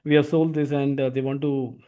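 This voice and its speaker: A man with an Indian accent explaining software as an instructor, often tagging phrases with "actually". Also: medium pitch, flat delivery, fairly quick, clear, resonant tone. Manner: repeatedly tags phrases with "actually". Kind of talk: explanatory software training lesson.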